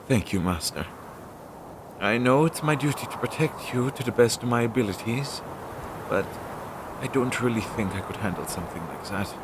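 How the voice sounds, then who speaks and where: A man's voice: expressive, unhurried, pauses often, close to an everyday voice. A man playing an anxious, talkative demon crow familiar in an audio roleplay, speaking hesitantly with sighs and chatty asides to his master. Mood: nervous. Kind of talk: scripted audio roleplay addressed to the listener. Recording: noisy low-quality home recording.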